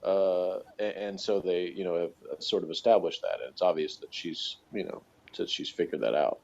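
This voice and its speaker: Male co-host, deep voice, loose rambling analysis, halting and chuckly, dull lo-fi sound. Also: creaky, a little mellow. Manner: halting, thinks aloud, chuckles as talking. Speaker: podcast co-host reviewing a TV series. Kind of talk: casual chat, rambling analysis. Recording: dull, lo-fi audio.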